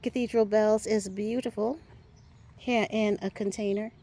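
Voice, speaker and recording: A woman giving a casual walking tour of her garden outdoors, chatty and upbeat, a little hoarse, often asking "right?" as she films on a handheld phone. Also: medium pitch, steady tone, measured pace, warm tone. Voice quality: a little hoarse, clears throat. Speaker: garden vlogger.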